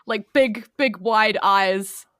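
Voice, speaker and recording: A woman with a New Zealand accent narrates a tabletop game as game master, improvising descriptions in short, halting phrases. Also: medium pitch, a bit bright, dry recording, studio quality.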